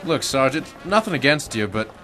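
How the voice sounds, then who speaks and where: A man playing an edgy, defensive ex-Marine turned crook in a detective radio drama, talking in gruff slang. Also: mid-range voice, big pitch swings, moderate pace, sharp tone, faint music behind, rough recording. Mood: uneasy, defensive.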